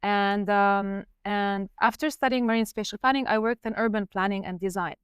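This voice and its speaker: A woman in her thirties, a researcher from Lebanon, explaining her career in a measured way on a podcast. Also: medium pitch, clear, resonant tone, no echo, studio quality. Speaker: guest researcher. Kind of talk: podcast interview.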